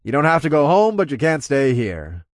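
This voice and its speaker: Club boss hero played by a man: practical, easygoing, animated audiobook dialogue, close and dry. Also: on the high side, normal speed, dry recording. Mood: announcing, apologetic.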